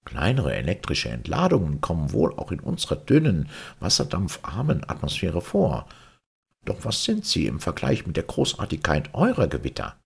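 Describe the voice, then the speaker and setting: A man voicing a wise, calm Martian pilot in a storybook, speaking in a formal, eloquent, declamatory way. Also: deep voice, normal speed, creaky, slightly rough, full character voice. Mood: admiring, instructive.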